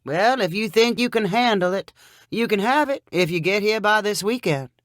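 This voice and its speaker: A woman's voice: medium pitch, animated, moderate pace, no echo, studio quality, voice pushed up, lightly acted. A woman voicing a laid-back rural Southern fish seller with a folksy drawl in a short story. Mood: gruff, amused. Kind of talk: audiobook character dialogue.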